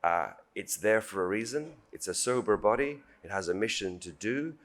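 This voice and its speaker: A man with a British accent and a very low voice speaks formally on a panel. He is measured and diplomatic, leaning on key phrases as an official would. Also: expressive, fairly bright tone. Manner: measured, diplomatic, emphatic repetition.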